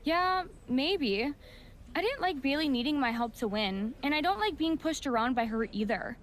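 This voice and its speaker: A woman's voice: high voice, expressive, normal speed, slight background noise. A woman playing a young, admiring and independent-minded rookie wrestler in a video game cutscene, speaking earnestly. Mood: sincere.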